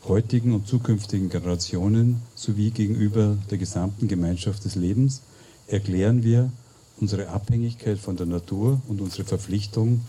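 A man reading the close of a declaration aloud, gravely. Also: fairly low pitch, moderate pace, mellow.

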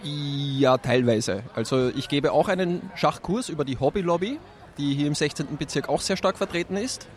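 A man's voice: fairly high pitch, lively intonation, normal speed. Male teacher at an outdoor festival. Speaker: teacher interviewed at a street festival. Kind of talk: relaxed interview answers.

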